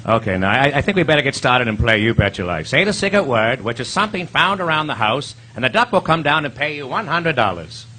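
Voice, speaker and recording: Actor as a wisecracking, swooping comic quiz host. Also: male voice, medium pitch, expressive, bright, forceful tone. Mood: wry, teasing. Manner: wry, needling, quick with asides. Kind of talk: comic stage recreation of 1950s radio quiz. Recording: live stage recording, noisy audience.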